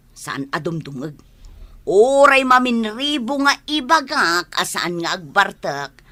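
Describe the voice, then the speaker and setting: A woman's voice: mid-range voice, bright, forceful tone. A woman playing an exasperated, defensive wife and mother in an Ilocano radio drama, argumentative, with an Ilocano accent.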